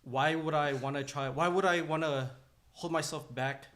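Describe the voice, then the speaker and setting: A man talking casually as a podcast guest, telling personal stories in a halting way with repeated phrases. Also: on the high side, fairly even intonation, fairly bright tone.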